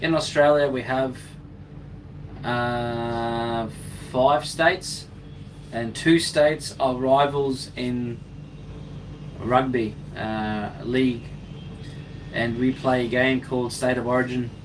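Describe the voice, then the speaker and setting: A man's voice: medium pitch, fairly even intonation, takes it slowly, pauses often. A man originally from Germany, working as a crane operator and chatting casually with viewers of his live stream in a joking, laid-back way. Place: crane cab. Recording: distant mic with clear background noise.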